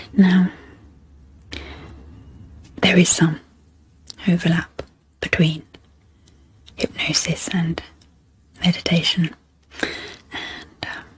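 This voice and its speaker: A woman with a soft, breathy, fairly low voice speaks in a gentle ASMR style. Her delivery is very slow, broken into short phrases with pauses. The voice often slips into a near whisper, as in a guided sleep meditation. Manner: gentle, unhurried, pausing between short phrases. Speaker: host, ASMR psychologist.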